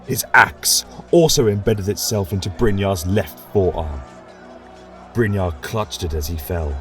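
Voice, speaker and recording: A man reading a fantasy story aloud as a narrator, in a measured, dramatic way. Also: sits low, fairly bright tone, soft background music.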